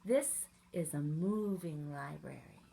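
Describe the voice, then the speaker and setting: Woman as a kindly, animated traveling librarian man. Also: sits low, slow pace, vocal fry, a little hiss or hum, voice pushed down, full character voice. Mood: encouraging. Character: kindly traveling male librarian, encouraging children.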